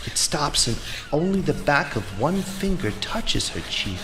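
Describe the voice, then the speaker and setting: An actor playing an exasperated, excitable and dreamy artist in a theatrical, exclamatory stage performance. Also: male voice, a little high, unhurried. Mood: hushed, awestruck. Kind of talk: theatrical live stage monologue. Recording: live theatre recording, clear background noise.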